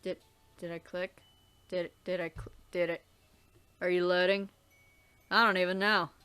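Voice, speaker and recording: A woman's voice: fairly low pitch, flat delivery, unhurried, pushed and bright, smooth. A young woman hosting a let's play, reading a webcomic aloud with theatrical delivery, swearing and trailing off into casual asides.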